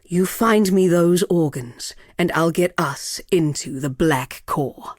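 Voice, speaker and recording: An actress playing a cruel, seductive sorceress villain in a video game, speaking in a haughty, theatrical, deliberate and taunting way. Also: female voice, fairly low pitch, a little mellow, no echo, studio quality. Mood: grave, intense. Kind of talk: dramatic video game character acting.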